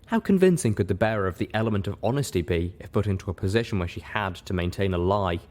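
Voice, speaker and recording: A man's voice: sits low, expressive, quick pace, a little mellow. A man reading a story aloud as its narrator, in scripted first-person narration. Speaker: reader, narrator.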